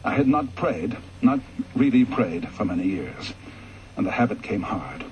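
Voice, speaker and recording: Man reading a ghost story on the radio, steady and calm, goes quiet and low sometimes, bit of faint hiss. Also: muffled recording. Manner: measured, calm, drops soft and low in reflective passages. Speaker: story reader.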